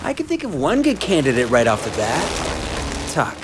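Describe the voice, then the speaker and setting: A woman's voice: low voice, huge pitch swings, moderate pace, noisy background. A woman playing a friendly, encouraging young racer in a video game cutscene, upbeat in tone. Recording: music behind the voice.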